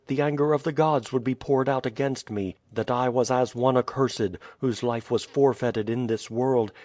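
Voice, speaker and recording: A man's voice: mid-range voice, a little mellow, no echo, pitched above the performer's own voice, lightly acted. Solemn young brother, earnest and sorrowful; a man reads him.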